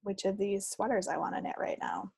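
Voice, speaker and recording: The folksy woman co-host on a homemade hobby podcast, with vocal fry and a close, dry sound. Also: mid-range voice, fairly even intonation, brisk, a little mellow, no echo. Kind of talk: casual chat.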